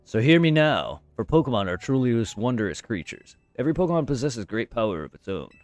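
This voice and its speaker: A man voicing a towering ancient man, speaking gravely and formally in a lowered voice. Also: very animated, no room sound, faint music behind, close to an everyday voice. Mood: solemn. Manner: grave, slow, formal delivery.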